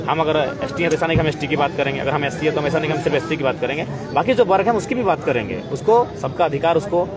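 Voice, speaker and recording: A man's voice: a little high, racing, few pauses. A young man with a Jharkhandi accent, an activist giving emphatic, explanatory interview answers in an oratorical way, repeating phrases for weight. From Jharkhand, India. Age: young adult. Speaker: political activist being interviewed. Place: outdoors at a public gathering. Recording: noisy outdoor crowd and traffic.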